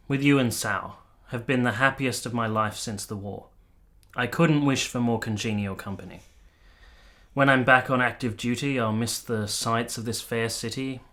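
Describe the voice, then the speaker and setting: A courteous, cultured captain from a period radio drama, played by a male actor. He speaks in formal, literary phrases at a medium pitch. His temper is wistful and reflective. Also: bright, forceful tone, close to an everyday voice. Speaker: actor and narrator in a radio play. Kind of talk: dramatic radio play acting.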